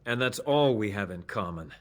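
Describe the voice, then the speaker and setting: Actor as lively, nervous, evasive male elf. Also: lowish voice, moderate pace, natural voice. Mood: curt, evasive. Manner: defensive, pleading, stammering.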